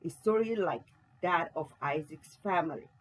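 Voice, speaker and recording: A woman's voice: on the low side, moderate pace, slightly rough, rough recording. A woman reading a reflective male narrator in a stage play, thoughtful and questioning.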